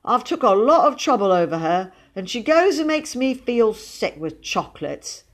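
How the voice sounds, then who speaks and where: A woman's voice: mid-range voice, big pitch swings, moderate pace, pitched above the performer's own voice. A woman voicing a talkative young boy in a storybook, earnest and unruffled, with colloquial grammar. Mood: gloomy. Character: meek-looking, unflappable, talkative young boy.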